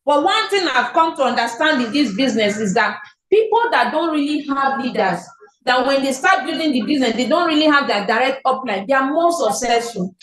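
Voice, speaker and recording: Nigerian lady, high voice, coaching her team on a call, echoey room, keeps asking and answering herself. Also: lively intonation, fairly quick, a bit bright. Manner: repetitive, asks and answers own questions. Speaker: team leader. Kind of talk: motivational business training. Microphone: online meeting call.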